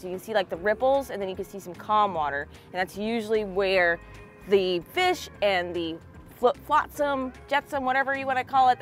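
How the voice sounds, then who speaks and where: A woman hosting a fishing vlog out on a boat at sea, giving casual commentary and chatting. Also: mid-range voice. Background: clear music.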